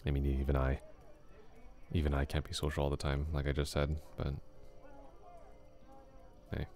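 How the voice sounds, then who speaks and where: A man playing a laid-back flirty classmate, very deep. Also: completely flat delivery, moderate pace, a little mellow, faint music behind. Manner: casual, easygoing, chuckles often. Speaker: ASMR roleplay voice actor. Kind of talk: ASMR roleplay, soft close talk.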